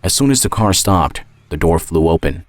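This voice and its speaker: A man narrating a scripted first-person story in a measured, reflective manner. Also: low voice, lively intonation. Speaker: narrator and author.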